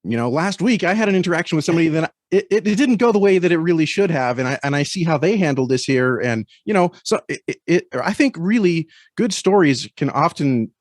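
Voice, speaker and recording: A man speaking as an interview guest in a thoughtful, conversational way, often halting as he searches for words. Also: on the high side, animated, no echo, studio quality.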